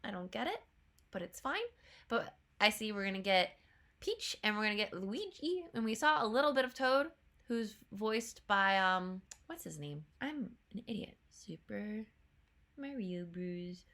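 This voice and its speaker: A woman's voice: mid-range voice, sing-song, measured pace, sharp tone. A young woman with a stuffy nose from a cold, chatting bluntly as a YouTuber reacting to a trailer. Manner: chatty, blunt, swears casually. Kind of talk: casual chat.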